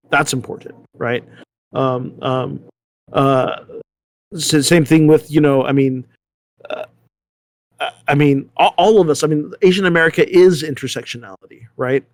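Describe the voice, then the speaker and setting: A man talks through his thoughts as a discussion panelist, at a middle pitch. He often restarts and repeats words, tagging points with "right?" The sound is close and dry. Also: dry recording. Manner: halting, repeats words, seeks agreement with "right?". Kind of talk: panel discussion, thinking aloud.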